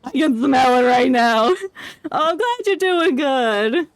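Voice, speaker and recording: A woman's voice: high voice, expressive, slow pace, a crowd in the background. A woman live-streaming a game with casual, rambling, joking commentary, often mimicking the characters. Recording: stream with game music behind.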